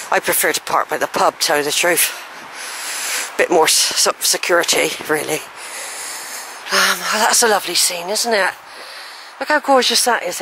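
An older woman rambles cheerfully as she walks a wooded track, talking into a handheld camera. Her voice is bright and a little wheezy, and a faint hum of the outdoors sits behind it. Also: fairly low pitch, low-quality recording. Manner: chatty, rambling, thinking aloud. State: a bit wheezy. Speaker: walking vlogger. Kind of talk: casual walking commentary. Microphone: handheld camera while walking.

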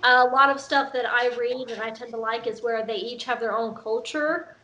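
A woman speaks on a video call panel from home. She talks casually, often starting with a hesitant filler. Her fairly high voice sounds muffled through the call. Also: steady tone, moderate pace, pushed and bright, a little hiss or hum. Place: home room.

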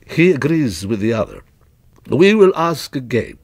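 A man playing a shrewd, courteous shop proprietor, swooping pitch. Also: gravelly, slightly rough, no room sound, studio quality, exaggerated voice. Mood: pressing, pleased. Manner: businesslike, flattering, deliberate salesmanship.

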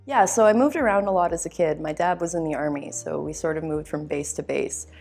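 Low-voiced young woman, casual. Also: brisk, vocal fry, a little mellow, soft background music. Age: young adult. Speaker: interviewee. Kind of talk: casual personal interview. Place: meeting room.

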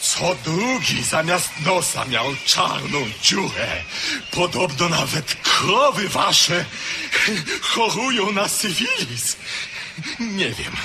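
A disillusioned Russian aristocrat officer, scornful and brooding in an old radio drama. His voice is gravelly and rather high, and his words come slowly and weightily. Steady hiss sits under the whole recording. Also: exaggerated voice. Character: bitter weary Russian aristocrat officer, nihilistic. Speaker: actor in a radio play. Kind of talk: 1970s-80s radio drama acting. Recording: old radio play recording with background noise.

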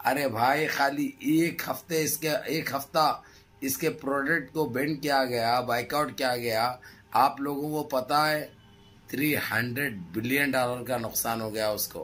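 A middle-aged man with a hoarse throat gives impassioned political commentary to his viewers in emphatic, repetitive, rhetorical phrases. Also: medium pitch, fairly even intonation, unhurried, fairly bright tone, poor audio. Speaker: news commentary vlogger. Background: background music.

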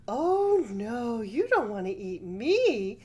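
A woman's voice: a little high, sing-song, slow, a little mellow, dull audio. A woman voicing a small, childlike male baby goat, pleading and clever, in a children's story.

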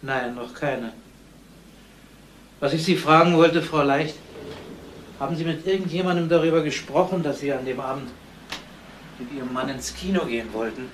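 An actor playing a matter-of-fact, mildly impatient police detective in a radio crime drama. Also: male voice, fairly high pitch, normal speed, long pauses, natural voice, rough recording. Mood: calm questioning. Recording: clear background noise.

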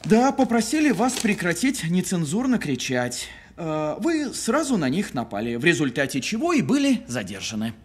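Audio-film police officer, insecure and defensive, raspy strained tone, jumpy intonation, tripping over threats. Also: male voice, high voice, huge pitch swings, moderate pace, hardly any pauses, heavily acted. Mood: tense, officious. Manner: stammering, defensive. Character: flustered, insecure male police officer, threatening but rattled. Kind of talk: naturalistic audio film drama acting.

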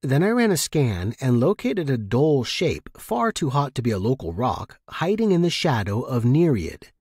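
Husky man, story narration, podcast host, lively intonation, dry recording. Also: mid-range voice, normal speed, no echo. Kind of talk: expressive first-person audiobook-style story narration.

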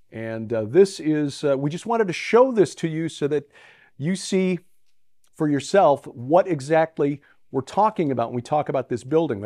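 A middle-aged man hosting a ministry program, giving a relaxed spoken tour with frequent fillers, recorded on a lapel mic in a large pole barn. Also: on the high side, no echo, studio quality. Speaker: ministry host. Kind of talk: casual walkthrough talk to camera. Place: inside a large metal pole barn and outdoors beside it.

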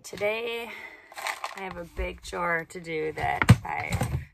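A woman's voice: fairly low pitch, slow, creaky. A woman in her thirties chatting casually and candidly in a family vlog, with informal, playful wording. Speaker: vlogger and mother. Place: varies: car, small home, outdoor yard. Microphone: phone at arm's length or propped nearby. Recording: noisy handheld phone recording.

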